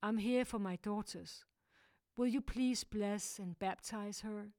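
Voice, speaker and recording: A woman's voice: medium pitch, slow pace, darkish tone, dry recording, studio quality, pitched above the performer's own voice. A woman voicing a desperate mother begging for her child. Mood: pleading. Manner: pleading, urgent. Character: desperate young mother pleading.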